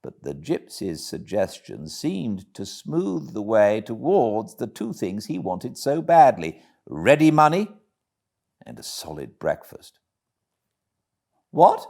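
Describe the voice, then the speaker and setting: British male audiobook narrator, deep-leaning voice, animated classic storytelling. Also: takes it slowly, gravelly. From Britain.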